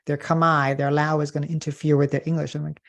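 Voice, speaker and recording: A man's voice: fairly high pitch, flat delivery, brisk, creaky. A man hosting an interview, asking short, friendly questions in a conversational way. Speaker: podcast host.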